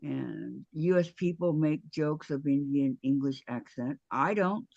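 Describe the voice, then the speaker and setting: A man with a raised, gravelly voice reads viewer comments aloud in a relaxed way. The sound is dry and close but a little dull, as if from a lo-fi recording. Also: fairly high pitch, a little slow, no echo. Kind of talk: casual live chat, reading viewer comments.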